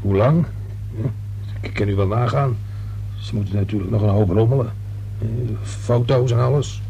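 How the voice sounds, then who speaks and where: A man's voice: lowish voice, normal speed, gravelly, mellow, slight background noise, rough recording. A man acting a nervous, chatty working-class fellow in a Dutch radio play, hesitant, rambling and colloquial. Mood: gossipy.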